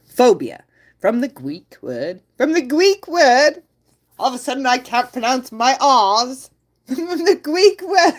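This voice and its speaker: A woman's voice: sits high, big pitch swings, slow pace. A woman hosting a casual livestream, playful, often laughing and reading chat aloud. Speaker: livestream host.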